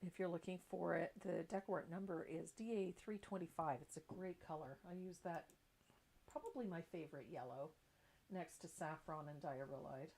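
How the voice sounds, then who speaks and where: A woman's voice: on the low side, normal speed, gravelly, darkish tone. A middle-aged woman giving a casual, chatty live craft tutorial in an informal way. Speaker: craft painting instructor and livestream host. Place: indoor craft table.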